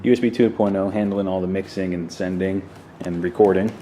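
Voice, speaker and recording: Low-voiced man, offhand, in a noisy recording. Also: steady tone, a little fast, dark tone. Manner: offhand, clipped fragmentary phrasing. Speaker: vlogger showing a home podcast setup. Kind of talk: casual unscripted explainer walkthrough. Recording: clearly noisy room recording.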